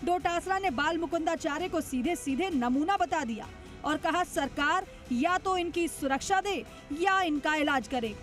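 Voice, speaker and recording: A woman's voice: extremely high pitch, measured pace. A woman reading a scripted news report voice-over with dramatic, emphatic delivery. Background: music under the voice.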